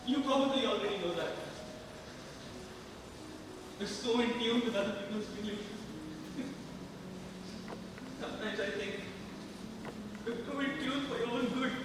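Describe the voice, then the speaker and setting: A man in an amateur stage play acting an anguished, weary parent at a child's hospital bedside, halting and pleading. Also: extremely high pitch, heavy background noise. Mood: tender, strained. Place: large hall stage. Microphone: distant microphone. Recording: echoey hall from far off, music.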